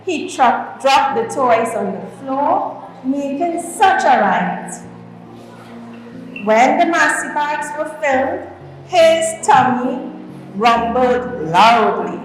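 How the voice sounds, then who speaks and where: A woman with a Trinidadian accent reads a comic rhyming story aloud in an expressive, theatrical way, with deliberate phrasing. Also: sits high. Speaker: guest storyteller reading aloud at a school. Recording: very echoey hall, distant mic, faint music.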